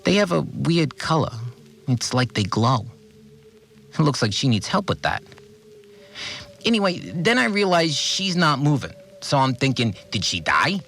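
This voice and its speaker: An actor playing an earnest, aggrieved cleaner who keeps an audio diary in a naive, rambling, complaining way. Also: male voice, fairly high pitch, big pitch swings, measured pace, vocal fry, pauses often, fairly bright tone, heavily acted. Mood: exasperated, puzzled. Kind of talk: audio drama monologue, conversational recorded log.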